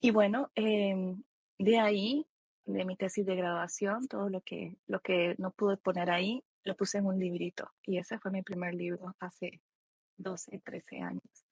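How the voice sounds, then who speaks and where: A Costa Rican woman speaks with a husky voice, close and dry in the recording. She is an expert guest, explaining things step by step in a reflective, conversational way. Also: medium pitch, fairly even intonation, unhurried, a little mellow, no echo. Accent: Costa Rican Spanish. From Costa Rica. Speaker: expert guest, psychologist and author.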